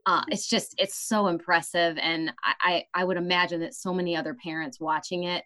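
A woman's voice: lowish voice, fairly even intonation, a little fast, pushed and bright. A woman podcast host talking conversationally as she interviews guests.

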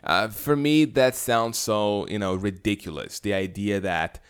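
A man from Brazil with a Brazilian Portuguese accent, hosting a solo podcast in a relaxed, chatty way with many fillers and restarts. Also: fairly low pitch, unhurried, clear, resonant tone. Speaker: podcast host.

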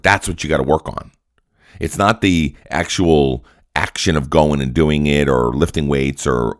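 Solo podcast host, male, deep and raspy with a cold, earnest pep-talk delivery. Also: no echo, studio quality. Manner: earnest, repetitive, coaching tone.

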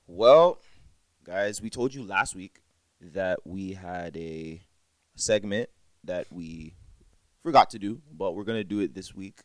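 Brief: very low-voiced man, laid-back podcast host, dry close sound. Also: slow, no room sound. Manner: relaxed, explains segments plainly. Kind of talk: casual chat. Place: at his home.

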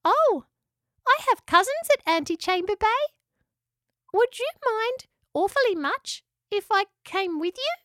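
A woman voicing a small, shy, polite female echidna in a storybook, with a squeaky voice. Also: lively intonation, measured pace, fairly bright tone, dry recording, clean studio sound, exaggerated voice. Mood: polite, eager.

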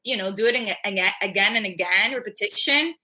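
A woman's voice: medium pitch, a little fast, bright, forceful tone, low-quality recording. A woman from Cuba, a journalism student, chatting casually and laughing as she tells stories. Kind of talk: casual chat.

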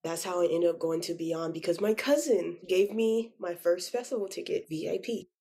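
A woman's voice: lowish voice, normal speed, dark tone, slightly rough. A woman in her twenties chatting as an interview guest, telling personal stories in an informal, slangy way. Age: 20s. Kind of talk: casual chat, storytelling.

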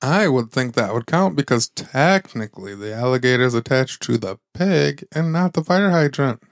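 A man co-hosting a comedy podcast, chatting in a relaxed, wry way and laughing along. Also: mid-range voice, moderate pace, dry recording, studio quality. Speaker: podcast co-host. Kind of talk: casual comedic chat.

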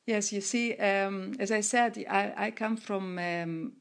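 A middle-aged woman from Greece, answering interview questions in a measured way with frequent restarts and fillers. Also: mid-range voice, steady tone, vocal fry. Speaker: guest, researcher and coach of women entrepreneurs.

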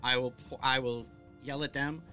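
Male player, mid-pitched, sharp cutting tone, casual game talk, music behind. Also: fairly even intonation, normal speed. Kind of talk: casual play, declaring character actions. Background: background music.

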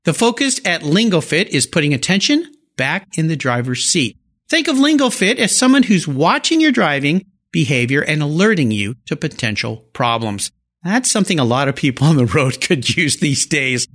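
A man's voice: on the high side, sing-song, normal speed, a bit bright. A man hosting a car podcast interview, enthusiastic and fond of playful car puns. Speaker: podcast host.

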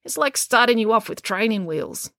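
A woman's voice: fairly high pitch, animated, normal speed, pushed and bright, no echo, studio quality, pitched above the performer's own voice. Woman voicing a grumpy, commanding old lady. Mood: brusque, blunt. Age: older. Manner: curt, bossy, blunt.

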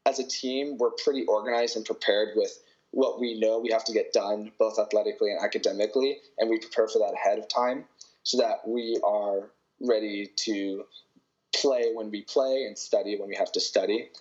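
A young man from Canada, a college volleyball player, answering interview questions in a relaxed way with many fillers. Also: on the low side, normal speed, lo-fi sound. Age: young adult.

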